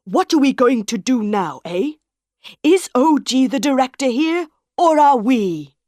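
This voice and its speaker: A woman's voice: sits high, expressive, a little slow, darkish tone, no echo, clean studio sound, pitched above the performer's own voice. Woman doing a blustering angry opera director. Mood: indignant. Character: blustering, hot-tempered male opera director.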